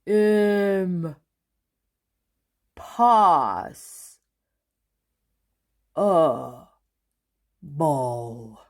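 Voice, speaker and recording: A woman voicing a sluggish, reluctant male storybook character, with drawn-out, laboured words. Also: low voice, a little mellow, full character voice.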